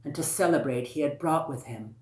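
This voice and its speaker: A woman telling a folktale aloud in a deliberate manner, repeating phrases for emphasis. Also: low voice, darkish tone. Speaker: storyteller. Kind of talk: oral folktale storytelling. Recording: echoey, roomy sound.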